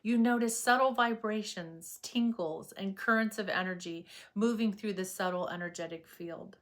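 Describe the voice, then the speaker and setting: A woman narrating a scripted guided meditation as a yoga nidra guide, with gentle, unhurried and measured phrasing. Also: mid-range voice.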